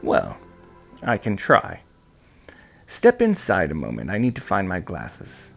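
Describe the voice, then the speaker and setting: A kindly old shopkeeper played by a man reading an audiobook, very gravelly and slow. He speaks with gentle, old-fashioned courtesy, his pitch rising and falling like a born storyteller's. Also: wildly swooping pitch, soft background music, full character voice. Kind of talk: audiobook character voice.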